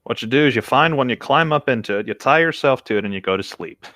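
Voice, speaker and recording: A man's voice: mid-range voice, animated, fast, pushed and bright, dry recording, clean studio sound. A man chatting casually and reading aloud in a group voice chat, with a rambling, hesitant way of talking. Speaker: co-reader on a group creepypasta reading show. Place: online voice chat.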